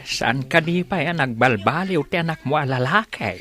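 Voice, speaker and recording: A man's voice: on the high side, animated, moderate pace, sharp tone. A man playing an old, fretful, prayerful village father in an Ilocano radio drama, plaintive about his wayward son. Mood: exasperated, pleading.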